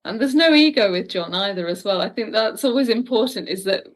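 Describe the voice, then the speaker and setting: A woman speaks in a medium-pitched voice. She is a guest in an interview, chatting casually and conversationally about her work. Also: lively intonation, brisk. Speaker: guest, audio drama writer.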